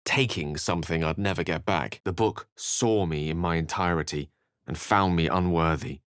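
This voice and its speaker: A man's voice: sits low, normal speed, fairly bright tone, clean tone, dry recording, studio quality. A man voicing an eloquent, courtly wizard companion in a fantasy video game, speaking formally and theatrically. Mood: grave, haunted. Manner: articulate, formal, theatrical phrasing. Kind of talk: fantasy video game voice acting, dramatic narration and dialogue.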